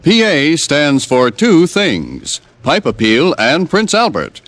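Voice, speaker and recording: A man's voice: fairly high pitch, big pitch swings, slow pace, over music. An American man delivering a scripted tobacco commercial in 1950s radio style. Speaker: commercial announcer. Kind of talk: 1950s radio advertisement read.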